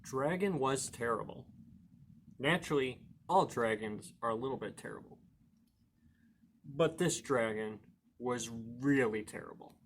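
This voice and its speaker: A man with a medium-pitched voice reads a picture book to children. He is playful and expressive, stressing key words. He slips into chatty, questioning asides as a storytime host. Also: measured pace, long pauses, slight background noise. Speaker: library storytime reader and host.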